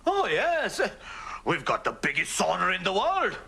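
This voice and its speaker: A man's voice: sits high, wildly swooping pitch, unhurried, creaky, pushed and bright. A middle-aged man with a Pakistani English accent, playing a pompous, blustering father in a sitcom, with nervous laughs. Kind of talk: sitcom acting.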